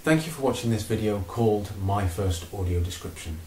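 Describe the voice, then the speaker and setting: Low-voiced man introducing a video. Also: a little fast, darkish tone, a little hiss or hum. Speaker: theatre programme organiser introducing a video. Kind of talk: scripted introduction to camera. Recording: echoey room, mic at a distance.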